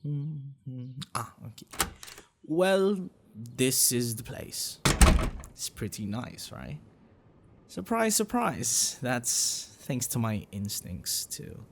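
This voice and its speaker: A man voicing an affectionate, protective, bashful dragon boyfriend in a soft, breathy, hesitant ASMR roleplay. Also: mid-range voice, very animated, drawn-out words, background noise, full character voice. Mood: tender, caring.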